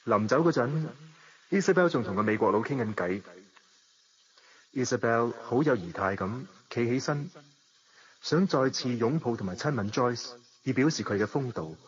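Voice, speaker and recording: An actor playing a suave, romantic bachelor, measured and indulgent, in a Hong Kong Cantonese radio drama. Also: male voice, medium pitch, lively intonation, highs cut off, natural voice. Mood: reflective. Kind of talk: radio drama dialogue and reflective narration.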